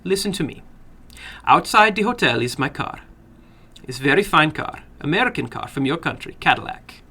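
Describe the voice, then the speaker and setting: Male reader as little foreign gambling man, high-pitched, soft, courteous, slyly menacing, broken English, storybook horror. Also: very animated, normal speed, husky, exaggerated voice. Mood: coaxing, sly. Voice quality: soft, toneless.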